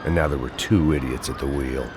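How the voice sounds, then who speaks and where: Very deep, world-weary American noir antihero. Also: male voice, brisk, noisy background. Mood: weary, sardonic. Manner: deadpan, sardonic, terse. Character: world-weary, self-loathing, hard-drinking male bodyguard, cynical antihero. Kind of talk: noir scripted narration and game cutscene dialogue. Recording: loud music over the voice.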